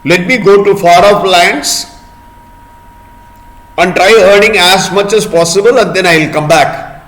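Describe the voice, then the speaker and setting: A man's voice: high-pitched, measured pace, fairly bright tone, echoey room, pitched above the performer's own voice. A man voicing a poor, pious young son who speaks earnestly and respectfully in a storybook tale. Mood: earnest pleading.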